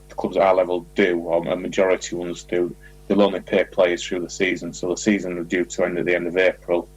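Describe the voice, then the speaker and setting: A man's voice: deep voice, darkish tone, breathy edge, lo-fi sound. A man talking as a football manager in an informal interview, repeating words as he explains.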